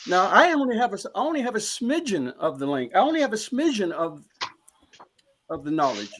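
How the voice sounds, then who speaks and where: A man with a fairly high-pitched voice speaks as a panelist in a live discussion. He is earnest and emphatic, and he circles back over his own phrases as he presses each point home. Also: very animated, fairly quick, fairly bright tone.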